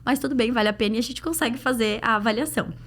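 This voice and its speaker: Higher-pitched Brazilian woman explaining conversationally. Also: rapid-fire, a bit bright. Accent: Brazilian Portuguese. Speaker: guest, pediatric dental clinician. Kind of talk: conversational podcast interview answers.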